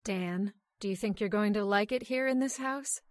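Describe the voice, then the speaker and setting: Lady doing a curious wife in a radio play, earnest and chatty, medium pitch, close dry recording. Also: normal speed, dry recording, close to an everyday voice. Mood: pleased. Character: inquisitive married woman, wistful heiress to a house. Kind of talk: old-time radio drama acting.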